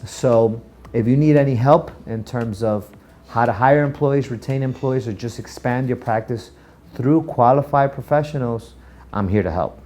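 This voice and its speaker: Man with mellow voice, giving practical advice. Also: mid-range voice, moderate pace. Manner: emphatic, checks in with rhetorical questions. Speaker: dental practice owner giving business advice to camera. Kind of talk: informal instructional talk for a video channel.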